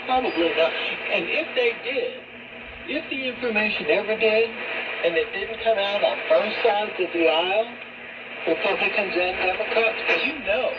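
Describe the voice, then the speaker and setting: A woman's voice: mid-range voice, normal speed. Through heavy shortwave noise and a dull, narrow sound, a woman talks in a conversational radio style. She is skeptical and keeps posing questions as she muses on politics.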